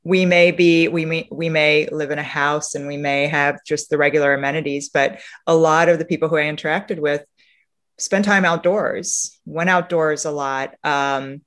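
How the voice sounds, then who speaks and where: Female interview guest from Romania, conversational and reflective, halting delivery with frequent rephrasing. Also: deep voice, fairly even intonation. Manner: reflective, halting, often rephrasing mid-sentence. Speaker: interview guest, sustainability leader. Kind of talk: conversational interview answers, telling travel anecdotes.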